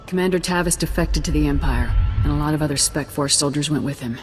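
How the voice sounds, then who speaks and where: A woman's voice: deep voice, fast, faint music behind, natural voice. An actress playing a tough, no-nonsense young woman soldier in a video game, curt and businesslike. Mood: curt, dismissive.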